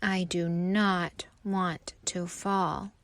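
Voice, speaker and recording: A woman's voice: on the low side, little pitch movement, drawn-out words, no room sound. A woman voicing a small, anxious male autumn leaf in a storybook, plaintive and fretful. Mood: worried.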